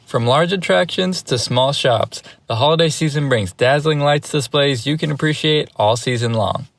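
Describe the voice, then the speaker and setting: A man's voice: fairly high pitch, moderate pace, vocal fry. A man anchoring a student news show, reading scripted links.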